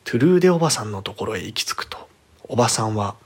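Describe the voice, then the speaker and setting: Husky man telling a horror tale. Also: lowish voice, expressive, fast. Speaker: storyteller. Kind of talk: dramatic scripted horror storytelling.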